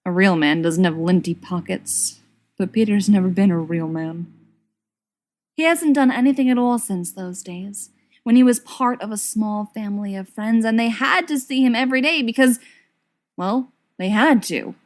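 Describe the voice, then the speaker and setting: A woman reading a story aloud as an expressive scripted narration. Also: medium pitch, normal speed. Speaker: audiobook reader of fan fiction.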